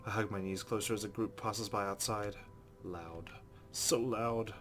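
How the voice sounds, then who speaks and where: A man's voice: lowish voice, steady tone, a little slow, noisy background. A man doing a let's play, reading game narration aloud expressively and adding casual, informal asides. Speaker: let's player. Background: game music.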